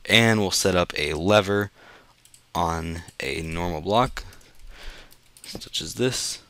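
A young man with a deep, low voice that sounds a bit sick and off today. He rambles casually over his gameplay as a channel host, correcting himself as he goes. The talk is easygoing and unscripted. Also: slow pace, sharp tone, dry recording.

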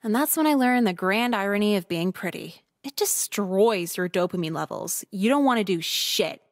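An actress playing a sardonic, manipulative eighteen-year-old girl in a visual novel game, with deadpan snark. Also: mid-range voice, moderate pace, no echo, studio quality, lightly acted.

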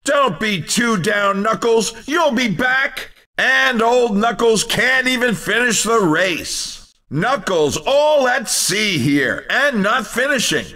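A man voicing an excitable, showy race commentator in a video game, with theatrical, emphatic exclamations. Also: very high-pitched, slow pace, recorded from a distance, heavily acted. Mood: mock dismay. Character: excitable male arcade racing game announcer, showy and quick to tease.

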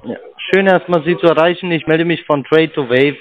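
A man cold-calling as a sales agent, in a smooth, scripted salesman tone, over a dull phone recording. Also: fairly high pitch, brisk. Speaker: scam caller. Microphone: telephone.

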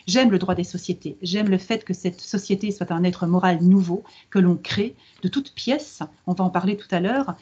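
Middle-aged female lawyer as interview guest, slightly rough-edged husky voice, measured teaching delivery, muffled video-call sound. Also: fairly low pitch, warm tone. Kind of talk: explanatory interview answer on a video conference. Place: book-lined office, video call. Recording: dull video-call audio.

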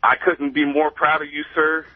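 A man's voice: on the high side, flat delivery, moderate pace, slight background noise. A man from Ohio calling in to a radio show with earnest, admiring praise for the host. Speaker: caller. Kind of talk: call-in radio talk. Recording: thin telephone line on air.

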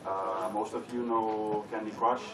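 A man from Germany with a German accent, a relaxed, joking conference panelist. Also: lowish voice, measured pace. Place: large conference hall with audience. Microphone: stage microphone heard from far off. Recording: echoey hall, distant, loud crowd.

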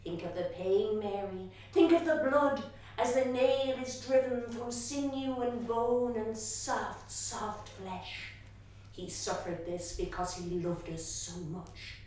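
A woman's voice: fairly high pitch, slow pace, darkish tone, a little hiss or hum. A woman voicing an intense nun teacher who dwells on words fervently, heard from far off in an echoey hall.

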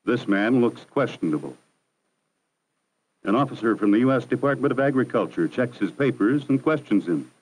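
A man narrating a 1960s educational film in a measured, formal, instructive manner. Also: medium pitch, expressive, breathy edge, low-quality recording. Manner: measured, formal, didactic delivery. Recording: 1960s archival film soundtrack.

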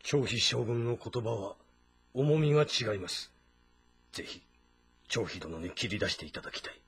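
An actor playing a guilt-ridden, formal military strategist in a dramatic game, restrained and solemn. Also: male voice, on the low side, expressive, normal speed, a bit bright, husky, full character voice. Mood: grave, despairing. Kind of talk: Japanese audio drama game acting. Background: music.

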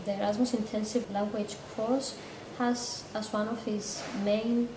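A woman in her thirties, a language course teacher, explaining in a measured interview from an office with bookshelves. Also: mid-range voice, little pitch movement, roomy sound, far from the mic, a crowd in the background, low-quality recording.

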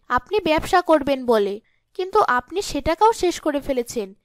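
A woman's voice: high-pitched, dry recording, clean studio sound. A woman voicing a wronged young village wife in a cartoon, pleading but firm.

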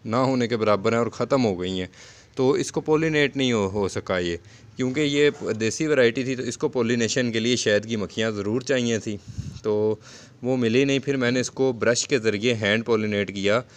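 A man's voice: medium pitch, normal speed. A man giving a casual, explanatory gardening vlog narration in a conversational, repetitive way. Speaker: home gardening video host.

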